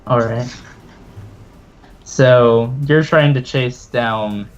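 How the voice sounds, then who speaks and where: A man running a tabletop game as game master, narrating casually and haltingly with offhand swearing. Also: mid-range voice, dull audio, a crowd in the background. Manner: casual, profane, hesitant narrating.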